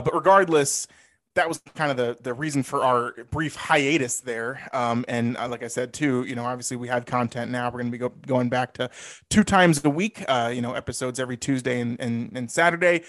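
Chatty man, mid-pitched podcast co-host. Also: fairly bright tone. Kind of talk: casual sports podcast chat.

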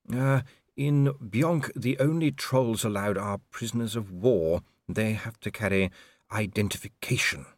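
A man's voice: fairly low pitch, no room sound. A man voicing a fussy, anxious diplomatic clerk in an audiobook, hesitant, careful and deferential.

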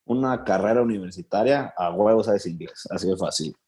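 Low-voiced Mexican man, relaxed and slangy. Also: fairly quick, crowd noise. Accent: Mexican Spanish. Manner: relaxed, slangy and good-humored. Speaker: podcast guest. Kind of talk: casual podcast chat.

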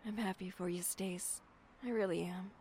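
A woman playing a shaken but defiant young survivor in an audio drama, halting and emotionally raw. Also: fairly low pitch, steady tone, darkish tone, slight background noise, natural voice. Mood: weary, gentle.

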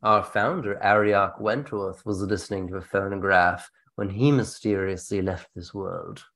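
A man's voice: low voice, moderate pace. A man hosting a podcast with playful, theatrical banter and storytelling. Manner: animated, theatrical. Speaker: podcast host.

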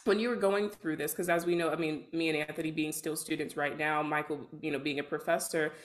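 A young woman with a distinctly low-pitched voice co-hosts an interview. She talks casually and conversationally as she puts her questions to a guest. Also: fairly even intonation, brisk. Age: young adult. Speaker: podcast co-host, student.

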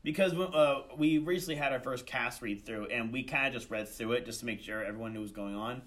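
A young man answers interview questions in a casual, conversational way. His delivery is halting, with phrases begun again and corrected as he goes. He speaks as a student director and voice actor being interviewed. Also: medium pitch, fairly bright tone. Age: young adult.

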